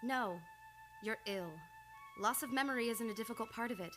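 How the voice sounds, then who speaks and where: A composed woman doctor voiced by an actress in a vintage radio play, her voice on the high side. She questions firmly and soothes, with faint music underneath. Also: normal speed, natural voice. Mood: calm, reassuring. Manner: firm, reassuring, questioning. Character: composed, probing female doctor named Constance calming a patient. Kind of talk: old-time radio drama acting.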